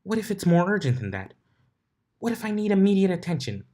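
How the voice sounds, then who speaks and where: A man's voice: high voice, very animated, a little fast, mellow, pitched above the performer's own voice. A man voicing a bookish, bossy young female unicorn with a fretful, dryly exasperated manner in a cartoon-pony story. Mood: panicky, indignant.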